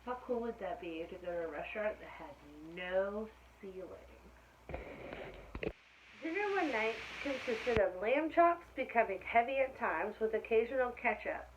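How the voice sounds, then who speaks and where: A woman reading a children's picture book aloud expressively, pausing to put questions to her listeners. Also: a little high, sing-song. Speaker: storytime reader. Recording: muffled lo-fi sound with faint noise.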